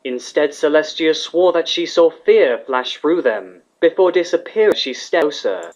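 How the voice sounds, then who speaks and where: A man's voice: a little high, lively intonation, measured pace. A man reading scripted fiction as the narrator of a dramatic story. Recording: slightly dull, lo-fi recording.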